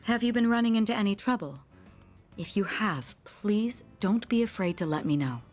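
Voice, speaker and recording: A woman's voice: medium pitch, expressive, normal speed, a little mellow, faint music behind, natural voice. A woman voicing a refined, considerate young woman of authority in a video game, speaking formally and politely. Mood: gracious, composed. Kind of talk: English video game voice acting.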